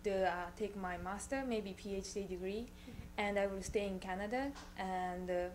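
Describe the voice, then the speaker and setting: A woman from Japan, an international student answering interview questions in careful, halting phrases. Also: mid-range voice, fairly even intonation, darkish tone.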